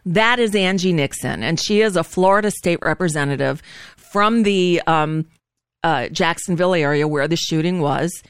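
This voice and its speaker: A woman's voice: fairly low pitch, moderate pace, smooth, no room sound, clean studio sound. A woman hosting a live show with informal political commentary, speaking in a blunt, sardonic, rambling way. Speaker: talk show host.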